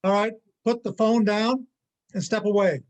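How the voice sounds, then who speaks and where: A man acting a loud, taunting armed thug in a church reader's theater play. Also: high-pitched, steady tone, normal speed, natural voice. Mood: menacing.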